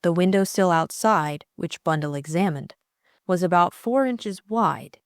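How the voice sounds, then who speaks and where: Low-pitched woman narrating an audiobook. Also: expressive, measured pace, dry recording, clean studio sound. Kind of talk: scripted storybook narration.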